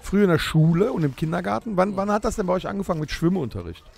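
A middle-aged man from Germany jokes and teases in a gravelly, husky voice. His voice sits a little high and moves a lot in pitch. Faint music runs underneath. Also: lively intonation, racing, darkish tone. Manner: teasing, joking. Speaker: podcast co-host. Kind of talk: casual chat in a staged holiday audio play.

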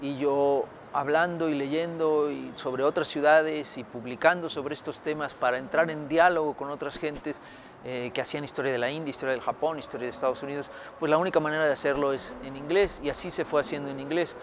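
Middle-aged man from Mexico, slightly high voice, reflective interview answers with hesitations, faint traffic outdoors. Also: fairly even intonation, fairly quick. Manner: thoughtful, many fillers and restarts. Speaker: historian author. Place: outdoors by a building.

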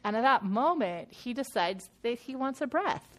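A woman's voice: on the high side, animated, moderate pace. Nasal-sounding woman leading Bible study. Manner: chatty and laughing, with many fillers and restarts. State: nasal from a sinus infection. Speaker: women's Bible study leader. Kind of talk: casual talk to a women's group. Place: church meeting room.

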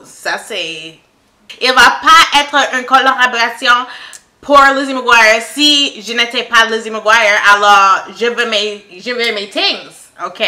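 A young Canadian woman and native English speaker, chatting casually through a makeup tutorial in halting French, searching for words and laughing at herself. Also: mid-range voice, sharp tone. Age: young adult. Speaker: beauty vlogger.

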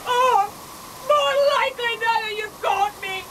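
Shrill, unhinged lovelorn villainess. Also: female voice, a little slow, background noise. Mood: wailing, defiant. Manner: theatrical, declaiming. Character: melodramatic, lovelorn unhinged female killer who sings. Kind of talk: radio comedy-drama acting.

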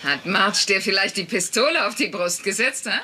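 A woman's voice: medium pitch, lively intonation, moderate pace, heavy background noise, natural voice. A woman voicing a blunt, teasing, persistent working-class wife in a dubbed sitcom, wry and probing. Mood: needling. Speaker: voice actor in a German sitcom dub.

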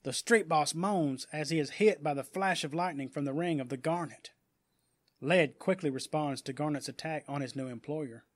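A man's voice: on the high side, normal speed, no room sound. A man reading scripted story narration in a dramatic audiobook style.

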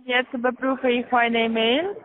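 A woman with a foreign accent, not a native German speaker, giving halting, insistent instructions as a call-centre caller. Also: a little high, fairly even intonation, no echo. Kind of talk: scripted phone instructions, spelling out slowly. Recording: tinny, narrow-band phone line.

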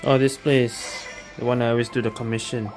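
Very low-voiced woman gamer. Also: flat delivery, a little fast, darkish tone. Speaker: gaming video host. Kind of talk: casual let's play commentary. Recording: game music behind the voice.

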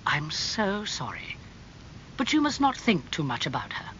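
Man acting a languid, cynical gentleman, animated intonation. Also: on the high side, unhurried, muffled recording, a little hiss or hum, heavily acted. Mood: languid concern. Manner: calm, smooth-talking, languid. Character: suave, cynical gentleman friend, calm and worldly.

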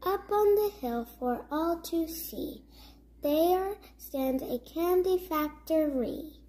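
High-pitched child reading a storybook slowly. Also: lively intonation, mellow, poor audio. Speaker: host of a story-time channel. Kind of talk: read-aloud of a children's rhyming picture book.

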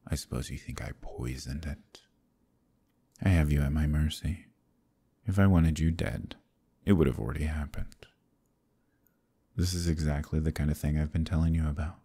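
A man voicing a proud, scheming demon king in an audio roleplay, talking in a slow, silky, menacing way. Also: warm tone, exaggerated voice. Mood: mocking. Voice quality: deep gravelly voice. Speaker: voice actor. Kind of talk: ASMR roleplay.

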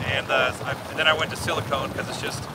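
A man's voice: medium pitch, little pitch movement, fast talker. A middle-aged man chatting as a guest on a boat, joking and rambling through his stories. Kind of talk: casual chat. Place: small boat on a lake, outdoors. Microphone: phone camera a few feet away. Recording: wind and water noise from a distance.

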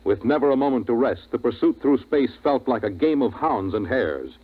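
A man's voice: medium pitch, expressive, moderate pace. A man with an American accent announcing in 1950s network radio style. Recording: tinny 1950s radio recording with music.

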